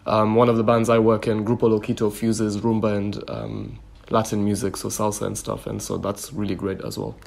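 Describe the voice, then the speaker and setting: Low-voiced male musician, flat and easygoing. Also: normal speed, vocal fry. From Kenya. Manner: thoughtful, relaxed, many "you know" fillers. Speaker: musician guest. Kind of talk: interview answers.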